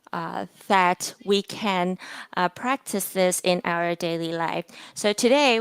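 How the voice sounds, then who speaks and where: A woman hosting a lecture event, introducing it formally in a careful, halting way with fillers and restarts. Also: mid-range voice, smooth, no room sound. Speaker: event host.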